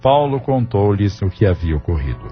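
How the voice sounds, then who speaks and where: A low male voice a man reading tells a sentimental family story with solemn Brazilian delivery. The audio novel sounds a little dull, with faint music underneath. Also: sing-song, moderate pace. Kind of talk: scripted audio-novel narration.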